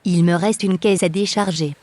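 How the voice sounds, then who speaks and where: A woman's voice: fairly low pitch, steady tone, normal speed, a little mellow, a little hiss or hum, close to an everyday voice. A woman playing a polite young newcomer held captive on an island, in an audio drama.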